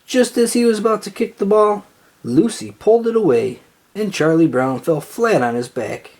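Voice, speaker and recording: An expressive storyteller reading a children's book aloud. The mid-pitched voice is slightly breathy and rises and falls in lively swings. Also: normal speed.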